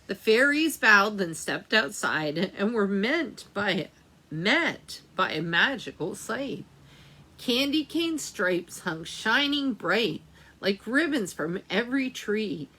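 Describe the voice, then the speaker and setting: A woman reading a picture book aloud to young children as a library storytime host, with dramatic, drawn-out phrasing and chatty asides. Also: mid-range voice, lively intonation, sharp tone, husky, poor audio.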